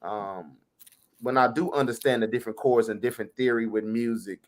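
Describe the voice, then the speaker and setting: A man who plays music at church, speaking earnestly on a panel and acting out encouraging quotes. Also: mid-range voice. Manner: earnest, quotes others in his telling, quick bursts. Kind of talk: casual panel chat.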